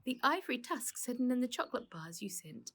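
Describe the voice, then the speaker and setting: A woman voicing a caring, calm mother, gentle and concerned, in a storybook reading. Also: fairly high pitch, a little fast, slightly rough, voice pushed up, full character voice. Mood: explaining.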